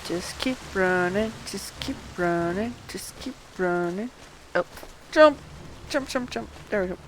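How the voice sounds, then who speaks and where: A woman's voice: mid-range voice, measured pace, a little hiss or hum, rough recording. A woman casually narrating her gameplay as a streamer, murmuring to herself and repeating phrases as she goes. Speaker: game streamer.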